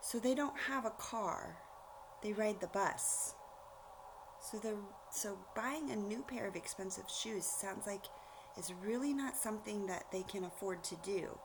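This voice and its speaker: A woman reading a storybook aloud like a teacher, pausing to explain things directly to young listeners. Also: medium pitch, noisy background. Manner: explanatory, addresses children directly.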